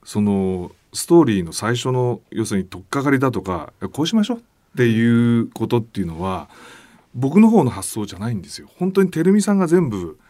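A man's voice: medium pitch, big pitch swings, fairly quick, dark tone, dry recording. A man talking casually as a podcast guest, earnest and eager to agree. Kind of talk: casual chat.

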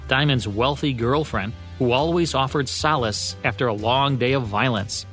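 Faint music plays under a man with a mid-pitched voice. He is a radio host giving a scripted, narrated introduction to a vintage program. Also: a bit bright.

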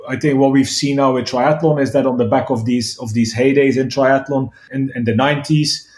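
A man's voice: medium pitch, fairly even intonation, brisk, a little mellow. A middle-aged man from Belgium, a guest in a podcast interview, talking with many restarts and fillers. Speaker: guest, sports event founder. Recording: roomy echo.

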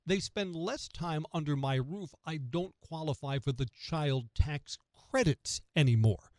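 A middle-aged American man hosting morning radio, giving opinion commentary in a conversational, emphatic way with pointed pauses. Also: medium pitch, a little mellow, no room sound. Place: close, dry radio studio.

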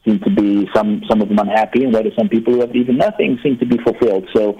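A man's voice: on the low side, fairly even intonation, fast, husky, highs cut off. A man, a guest author telling his life story in an earnest, reflective way. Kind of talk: interview talk.

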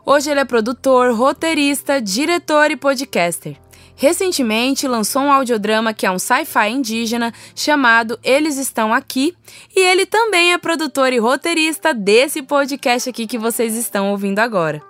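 A woman's voice: sits high, lively intonation, moderate pace, fairly bright tone, dry recording, faint music behind. A woman with a Brazilian accent, hosting a podcast as she introduces and interviews a guest. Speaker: podcast host.

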